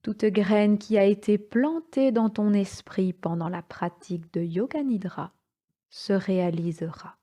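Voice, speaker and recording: A woman guides a yoga nidra relaxation as an instructor. Her voice is dark and mellow, at a medium pitch for a woman. She speaks very slowly and soothingly, setting short phrases apart with calm pauses.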